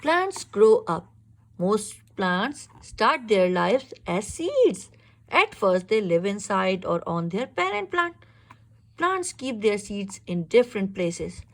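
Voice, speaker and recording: A woman reads a children's picture book aloud in an expressive, instructive way, pausing to ask questions. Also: mid-range voice. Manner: expressive, instructive, exclaims and checks understanding. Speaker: reader teaching a young child.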